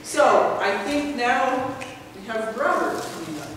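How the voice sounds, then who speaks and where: A woman presenting her research at a conference, in a relaxed, chatty way with frequent pauses. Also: high-pitched. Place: large lecture hall. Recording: echoey hall, mic far off, noisy.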